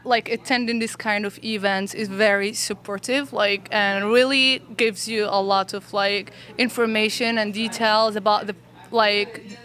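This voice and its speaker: Bright-voiced young woman from Morocco. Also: a little high. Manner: hesitant, many "like" fillers. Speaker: event attendee, project coordinator. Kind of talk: casual interview answers.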